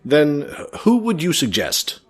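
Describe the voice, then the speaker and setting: Man as a husky, expressive young manor heir. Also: on the high side, slow, heavily acted. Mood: courteous. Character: frank, courteous male heir to a manor. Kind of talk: radio play acting.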